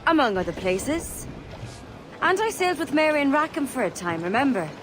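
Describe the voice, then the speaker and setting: A woman's voice: sits high, very animated, normal speed, over music. An actress playing a frank, hardy female pirate quartermaster in a video game. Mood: wry. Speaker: ship's quartermaster. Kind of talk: video game cutscene acting.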